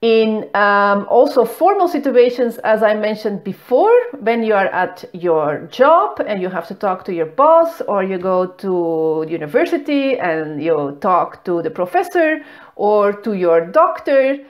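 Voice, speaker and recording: A middle-aged woman, not a native English speaker, who founded a language school and teaches a beginners' lesson, explaining patiently and clearly. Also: measured pace. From not Greece. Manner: patient, clear, stresses key words with lively pitch.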